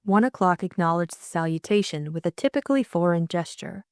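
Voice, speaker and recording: A woman's voice: fairly low pitch, lively intonation, fairly quick, dry recording, studio quality. A young woman working as audiobook narrator and co-host, reading a story and discussing it.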